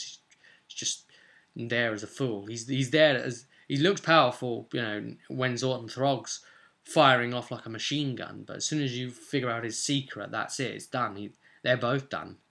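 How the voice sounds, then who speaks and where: Bright-voiced young British man, casual explainer. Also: mid-range voice. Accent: British English. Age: 20s. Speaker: game master, video host. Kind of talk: casual explanatory vlog about tabletop role-play. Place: small home room, indoors.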